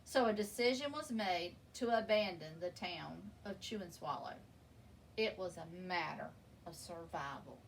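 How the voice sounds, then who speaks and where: A woman reading a children's picture book aloud in an expressive, deliberate storytelling manner, leaning on key words. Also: fairly low pitch, creaky. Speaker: story reader. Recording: distant microphone with background noise.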